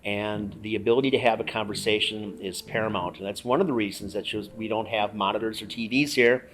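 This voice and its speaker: Middle-aged man, measured and dry-humored. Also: on the low side, steady tone, noisy background. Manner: measured, dryly joking. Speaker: host and bar owner. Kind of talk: casual chat. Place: bar.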